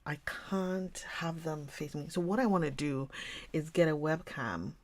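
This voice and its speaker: A woman hosting a livestream, chatting casually with viewers in a rambling way and often dropping into a soft whisper. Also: low-pitched, moderate pace, no room sound. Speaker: livestream host reading books. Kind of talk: casual chat with live viewers.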